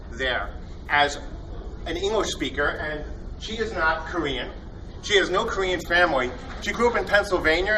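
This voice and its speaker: A man emcees a convention panel, joking his way through rambling introductions full of fillers. His voice sits a little high and lands from some distance off the mic. Steady noise from the hall fills the recording behind him. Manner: jokey, enthusiastic, rambling with fillers. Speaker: panel host introducing team.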